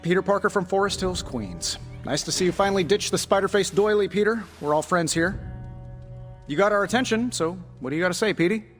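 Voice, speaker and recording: A brash superhero in a video game, pitched high for a man. He is cocky and showy, needling in a teasing way. Long reverb surrounds the voice, with music behind. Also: animated, fairly quick. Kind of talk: video game cutscene acting.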